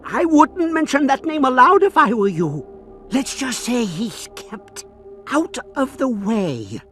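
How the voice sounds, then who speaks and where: A man playing a nervous, secretive, cautious informant in a video game, with a husky voice and furtive, jittery manner. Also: very high voice, sing-song, slow, fairly bright tone, faint music behind, full character voice. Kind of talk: video game cutscene acting.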